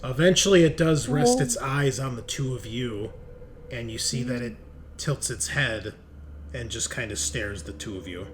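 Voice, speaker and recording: A man narrating a tabletop roleplaying game as game master, describing scenes in a deliberate way. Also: mid-range voice, noisy background.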